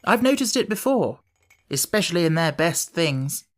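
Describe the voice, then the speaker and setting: A woman's voice: lowish voice, big pitch swings, moderate pace. A woman voicing a polite, eager young girl in a storybook, in a well-mannered way. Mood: dreamy.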